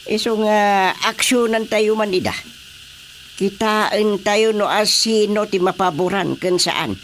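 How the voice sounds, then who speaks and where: A man with a Filipino accent acting a calm, measured village chairman who placates quarrelling neighbours in a radio comedy drama. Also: high voice, soft background music, full character voice. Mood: concerned, deliberate.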